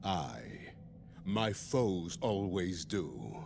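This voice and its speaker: An actor playing a proud, heroic thunder god prince who speaks in archaic, commanding lines in a video game cutscene. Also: male voice, low voice, big pitch swings, extremely slow, creaky, very noisy, full character voice. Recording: loud music score behind.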